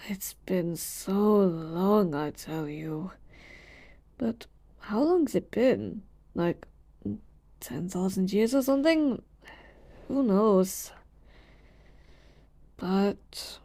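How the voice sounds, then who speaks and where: Woman playing an unhurried, mellow, ancient genie. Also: medium pitch, heavily acted. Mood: weary, groggy. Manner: shifts between grand servitude and casual sass. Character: ancient, weary yet playful genie of the lamp. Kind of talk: roleplay audio acting addressed to the listener.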